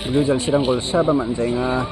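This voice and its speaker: A man casually commentating a football match from the sideline outdoors, shouting encouragement and laughing often. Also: mid-range voice, steady tone, moderate pace. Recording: crowd noise at an outdoor match. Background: crowd.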